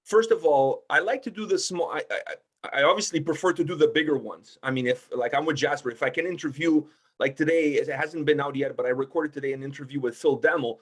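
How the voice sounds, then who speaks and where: A man with a fairly high voice talks in a casual roundtable. He is blunt and emphatic and peppers his talk with swearing. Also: a little fast. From Portugal. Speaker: music interviewer with own video channel.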